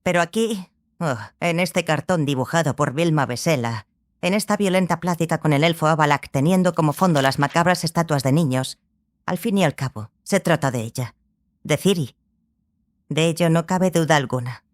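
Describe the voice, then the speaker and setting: A woman's voice: low voice, moderate pace, no echo, lightly acted. A woman playing a brusque, authoritative scholar of legends in an audiobook drama, with a firm, didactic way of talking. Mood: insistent.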